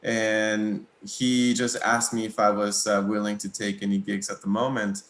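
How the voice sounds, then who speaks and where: A young man from Mexico whose first language is Spanish, answering interview questions in a relaxed, hesitant way with many pauses and small laughs. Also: fairly low pitch, echoey room. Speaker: guitarist, interview guest.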